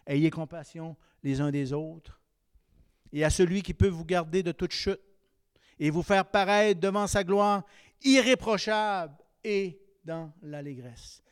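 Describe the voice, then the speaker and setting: A man in his fifties with a Québécois French accent, preaching a sermon that swings between raised exhortation and quiet, confiding asides. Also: a little high, measured pace, smooth, no room sound, clean studio sound. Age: 50s.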